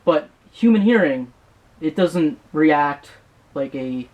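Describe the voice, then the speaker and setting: A man's voice: a little high, animated, slow, phone-quality sound. A man working as an interviewer, talking and explaining in a casual, conversational way.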